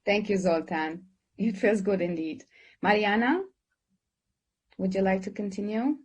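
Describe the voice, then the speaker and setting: A woman's voice: mid-range voice, unhurried, breathy edge. A woman moderating an online webinar, who introduces speakers and asks questions hesitantly, with many fillers and restarts, over a video call. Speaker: moderator, dean.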